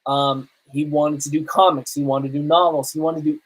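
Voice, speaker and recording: Give a mid-pitched podcast host reviewing movies in a casual, conversational way. The host speaks as an opinionated fan, laying out views informally. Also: level tone, a little fast, husky, low-quality recording. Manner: opinionated self-confessed fan.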